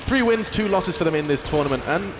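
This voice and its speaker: Brisk man hosting an esports desk. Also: fairly high pitch, expressive, noisy background. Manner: brisk, polished, presenter-like. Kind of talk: hosting live esports broadcast.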